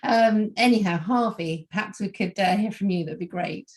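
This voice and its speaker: A woman's voice: medium pitch, moderate pace. A woman hosting and moderating a panel, chatty and a bit hesitant, with light joking asides.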